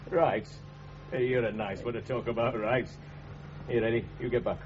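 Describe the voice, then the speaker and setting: Actor as a gruff, bullying Northern English foreman. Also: mid-range voice, fairly even intonation, a little slow, darkish tone, natural voice. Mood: sneering, taunting. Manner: gruff, bossy, taunting. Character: bullying, sneering workplace foreman. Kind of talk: 1970s radio drama acting. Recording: dull, hissy 1970s radio broadcast.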